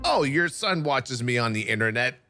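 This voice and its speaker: Give it lively swings in pitch, a man telling his streaming story with animated energy, a music bed clearly playing underneath. Keep the pitch in a middle range, casual and conversational. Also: moderate pace. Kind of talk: casual interview answers.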